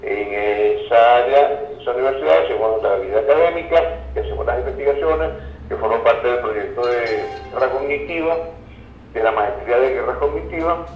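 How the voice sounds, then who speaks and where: A man's voice: mid-range voice, faint music behind. A man speaks as a professor being interviewed, repeating words as he looks for the next phrase. The sound is roomy and somewhat dull, picked up at a distance from the microphone. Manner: halting, repeats words while searching for phrases. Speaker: interview guest, university professor and researcher. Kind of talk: interview, explaining political and academic ideas.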